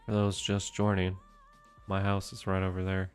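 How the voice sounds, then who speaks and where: A young man streaming a game from home, chatting with his viewers in a soft, meandering way. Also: deep voice, completely flat delivery, normal speed, clean tone, faint music behind. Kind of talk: casual gameplay commentary.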